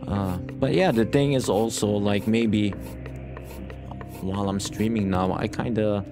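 A man with a low-pitched voice talks casually as host of a let's play. He rambles earnestly to his viewers and circles back to repeat phrases for emphasis. Guitar music plays clearly behind him. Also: a little slow. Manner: earnest, addresses viewers directly. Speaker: gaming channel host.

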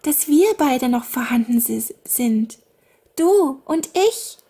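A carefree little fairy-king's daughter in a storybook, chattering lightly in a raised voice, as a woman puts her on. She talks slowly and a little breathily. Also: high-pitched, animated, mellow, breathy edge, pitched above the performer's own voice, heavily acted.

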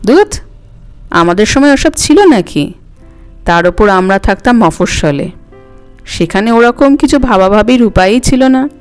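A woman's voice: a little high, animated, unhurried, long pauses, clean tone. A woman voicing an elderly grandmother who is coy, wistful and fondly scolding in a dramatic story reading. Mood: bashful denial. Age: older. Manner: coy, reminiscing, mildly snappish. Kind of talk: dramatic audiobook character reading. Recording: music under the voice.

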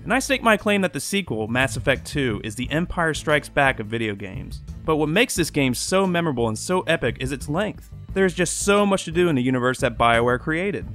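Animated man delivering scripted game-movie commentary. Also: medium pitch. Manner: sardonic, emphatic, opinionated. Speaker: video game movie critic, show host. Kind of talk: scripted video essay commentary. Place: small furnished bedroom, indoors.

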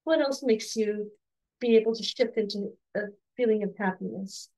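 A woman in her forties, slightly hoarse, gently interviewing her son at home on a video call with soft, encouraging questions and restarts. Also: medium pitch, moderate pace, rough recording. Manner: soft, encouraging, with frequent restarts and light laughs. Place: home room with bookshelves.

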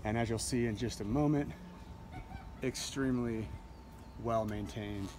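A man's voice: on the low side, unhurried, background noise. A man in his forties casually walking viewers through a sailboat, talking into his handheld phone with plenty of fillers and self-corrections. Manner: relaxed, chatty, with frequent fillers and self-corrections. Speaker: yacht broker. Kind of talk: casual walkthrough tour. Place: aboard a sailboat in a marina, deck and cabin. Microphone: handheld phone camera. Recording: phone recording with music playing nearby.

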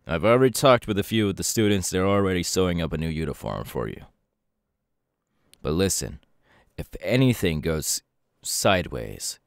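A serious young hero mentor played by a man speaks in a low voice, earnest and measured. It is an intimate ASMR roleplay delivery, recorded very dry, as if instructing a trusted protégé. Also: creaky, dry recording, exaggerated voice. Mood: serious, trusting.

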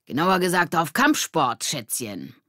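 A woman's voice: low voice, very animated, measured pace, bright, forceful tone, no echo, clean studio sound, full character voice. A woman voicing a cheeky, swaggering schoolboy in a children's audiobook, in standard German. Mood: boastful. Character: cheeky, boastful schoolboy gang members, teasing.

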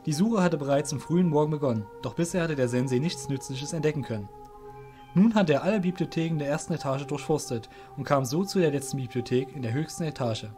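Higher-pitched man narrating a story. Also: quick pace, mellow, soft background music.

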